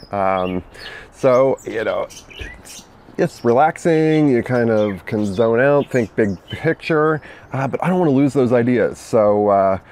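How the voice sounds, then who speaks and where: A man hosting a weekly fundraising tip, talking casually outdoors with many fillers and birds singing nearby. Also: lowish voice. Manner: relaxed and chatty, many "uh" and "you know" fillers.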